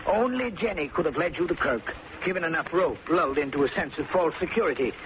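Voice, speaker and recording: Fussy, high-voiced man, swooping intonation. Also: animated, fairly quick, fairly bright tone. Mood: indignant. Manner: prim, formal, reproachful. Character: fussy, indignant male client hiding his guilt. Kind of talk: old-time radio drama acting. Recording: muffled, noisy vintage radio recording.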